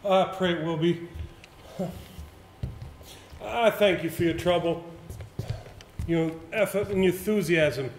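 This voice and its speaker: A man acting in an amateur stage play as a troubled gentleman, with a formal, polite and hesitant manner. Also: sits high, takes it slowly, pauses often. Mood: solemn, grateful. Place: theater stage, indoors. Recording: echoey stage recording, faint noise.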